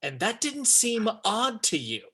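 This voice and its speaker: A man's voice: sits high, huge pitch swings, unhurried, sharp tone, breathy edge, full character voice. A man acting an intense, urgent federal agent in command, in a script table read. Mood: urgent, demanding. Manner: urgent, interrogating.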